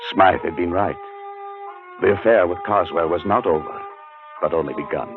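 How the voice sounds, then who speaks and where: An actor playing a haunted, nervous scholarly gentleman, narrating in a brooding, suspenseful 1940s radio drama style. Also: sits low, moderate pace, natural voice. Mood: uneasy recollection. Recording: muffled 1940s radio recording with music.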